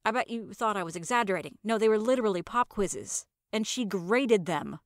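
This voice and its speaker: Female fiction podcast narrator, mid-pitched, expressive, wry, theatrical storytelling, dry studio-like sound. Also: a little fast, no echo.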